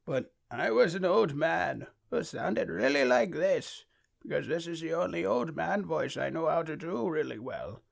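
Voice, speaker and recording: Man putting on a creaky, high-pitched old man. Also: animated, a little slow, full character voice. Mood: demonstrative. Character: cranky old man in an amateur anime dub.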